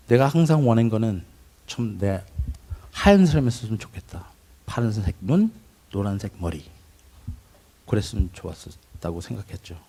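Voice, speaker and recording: Low, mellow-voiced man telling his story. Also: gravelly. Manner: reflective storytelling with pauses and restarts. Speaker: testimony speaker. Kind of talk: personal testimony.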